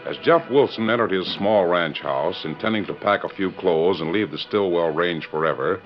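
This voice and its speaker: A man's voice: low-pitched, moderate pace, faint music behind. A man narrating as a radio announcer in scripted 1940s style, on a muffled old recording.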